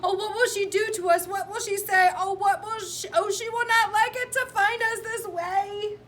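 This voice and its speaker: A fretful, scolding fish from a children's storybook, acted out by a woman reader. The voice is very high and bright, leaping about with alarm and urgency. There is noticeable noise behind it. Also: fairly even intonation, moderate pace. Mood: panicked.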